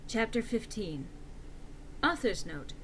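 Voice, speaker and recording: A woman's voice: fairly high pitch, very animated, slow, fairly bright tone, slight background noise. A woman narrating a fan fiction story as a theatrical, mock-serious dramatic reading.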